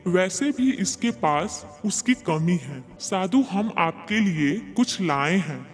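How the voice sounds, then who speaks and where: A man's voice: sits high, lively intonation, takes it slowly. A man voicing storybook characters in a Hindi cartoon moral tale. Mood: mocking. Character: earnest male storybook characters: villagers, sage, reporter. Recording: long reverb, music behind.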